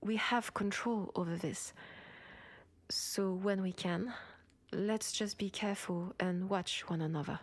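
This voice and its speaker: A woman with a French accent playing an ambitious, protective cyberpunk cop in an intimate sci-fi audio roleplay, with soft, breathy delivery. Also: medium pitch, fairly even intonation, unhurried, no echo, lightly acted. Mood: protective, earnest. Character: ambitious, protective female cyberpunk cop, senior partner to a rookie. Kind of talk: intimate sci-fi roleplay acting, one side of a dialogue.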